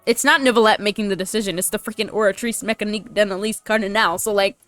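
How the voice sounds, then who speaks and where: A woman's voice: medium pitch, steady tone, fast talker, fairly bright tone, faint music behind. A woman giving casual, slangy, teasing commentary as she plays through a game. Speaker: streamer reacting to a game.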